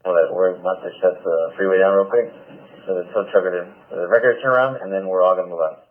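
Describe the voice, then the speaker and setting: A man's voice: deep voice, fast talker. A male police officer calling in from the field over a police radio. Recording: tinny, narrow-band police scanner radio.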